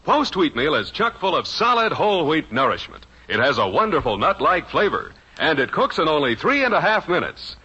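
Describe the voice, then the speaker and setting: A man's voice: on the high side, very animated, a little fast, few pauses, bright, forceful tone, breathy edge. An American man delivering an emphatic scripted commercial in 1950s radio style. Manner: emphatic, salesman-like. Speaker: commercial announcer. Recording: muffled old radio recording.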